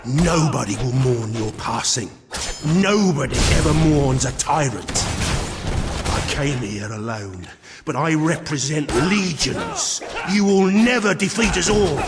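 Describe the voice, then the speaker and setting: A man's voice: fairly high pitch, animated, a little slow, noisy background. A man voicing a vengeful, fanatical escaped prisoner turned assassin in a video game, speaking in a theatrical, declamatory way. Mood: furious, defiant. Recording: long hall reverb under loud music.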